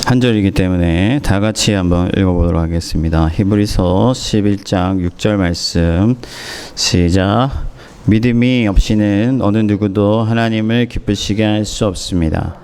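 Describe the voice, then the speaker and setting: A man preaching a sermon as pastor, hoarse from a cold, speaking in measured phrases with pauses and fillers. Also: lowish voice, darkish tone, a little hiss or hum. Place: church in Moscow.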